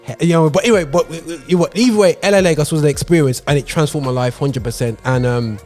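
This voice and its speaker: A man's voice: on the high side, fast talker, a little mellow, faint music behind. A man co-hosting a podcast in a studio, chatting casually and animatedly, peppering his talk with "you know what I'm saying". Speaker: podcast co-host. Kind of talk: casual chat. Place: radio studio.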